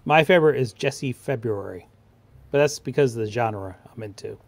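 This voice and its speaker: A man's voice: medium pitch, brisk, vocal fry, dry recording. A man in casual conversation on an online livestream panel.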